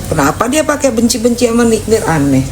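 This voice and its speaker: A woman's voice: on the high side, big pitch swings, fast. Blunt Jakarta woman, noisy recording. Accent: Jakarta Indonesian. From Jakarta (Betawi area), Indonesia. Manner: blunt, sassy, colloquial. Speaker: live-stream host answering viewers' comments. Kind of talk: casual live-stream chat.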